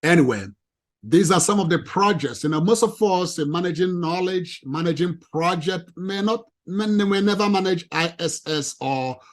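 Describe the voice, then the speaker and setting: A man with a non-native English accent giving an animated, emphatic presentation to a professional audience. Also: high-pitched, moderate pace. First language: not English. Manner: emphatic, lively, addresses ladies and gentlemen.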